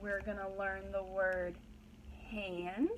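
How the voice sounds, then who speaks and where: A woman's voice: mid-range voice, level tone, slow, a little hiss or hum, rough recording. A woman pre-kindergarten teacher leading a read-aloud lesson for young children, using simple, repeated phrases and prompting questions.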